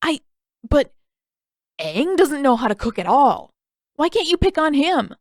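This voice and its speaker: A woman's voice: high voice, very animated, a little slow, no room sound, studio quality, voice pushed up, heavily acted. A woman voicing a dramatic teenage brother in an audiobook story, grumbling and indignant with emphatic delivery. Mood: indignant complaining.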